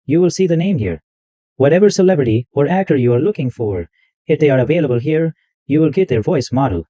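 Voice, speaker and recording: A man hosting a tech tutorial, walking through software step by step and repeating himself as he goes. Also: on the high side, lively intonation, quick pace, dry recording, dull audio. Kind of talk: screen-recorded software walkthrough.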